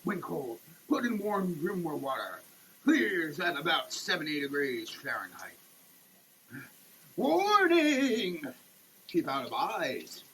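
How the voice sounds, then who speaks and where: A man giving a comedic dramatic reading of a product label, theatrical and over-emphatic, with halting delivery. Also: high voice, bright, forceful tone, slight background noise, rough recording. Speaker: comedic performer.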